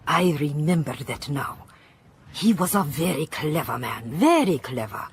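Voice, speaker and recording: A woman's voice: low-pitched, very animated, a little slow, a little hiss or hum. An actress playing a wary, opinionated old village woman in a radio drama, with a Southern African accent, a cracked voice and emphatic repetitions. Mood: disapproving recollection. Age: older.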